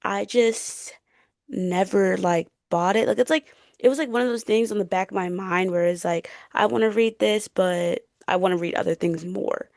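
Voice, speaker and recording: A woman's voice: medium pitch, moderate pace, darkish tone, dry recording, studio quality. A young woman co-hosting a podcast, chatting casually in loose, slangy everyday speech. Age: young adult. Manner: colloquial, slangy, frequent "like". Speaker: podcast co-host. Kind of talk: casual chat. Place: bedroom.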